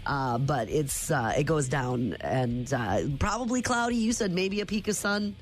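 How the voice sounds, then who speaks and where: Radio co-host lady, teasing and quick, skeptical, faint music in the back. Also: deep voice, expressive. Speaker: radio morning show co-host. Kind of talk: casual on-air banter.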